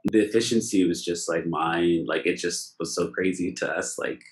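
A man's voice: low voice, moderate pace. A man with a Canadian accent hosting a casual interview, talking in an enthusiastic, effusive, rambling way. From Nova Scotia, Canada. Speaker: interview host.

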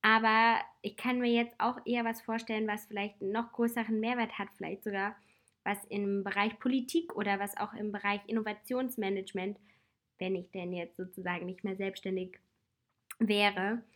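A woman in her twenties with a slight cold, hosting a casual personal advice talk in a reflective, chatty way with soft laughs. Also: medium pitch, fairly even intonation, normal speed. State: has a cold.

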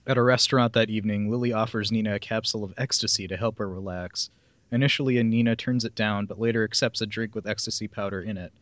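A man's voice: on the low side, steady tone, fast talker, a bit bright, no room sound. A man hosting a podcast, reading a plot summary aloud with casual asides. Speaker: podcast host reading film synopses.

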